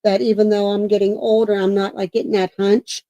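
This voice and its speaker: A middle-aged woman co-hosting a paranormal talk show, chatting casually and loudly with a projecting voice, rambling with many restarts and self-corrections. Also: medium pitch, level tone, brisk, dry recording, lo-fi sound. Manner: self-described talker, loud and projecting. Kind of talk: casual livestream chat.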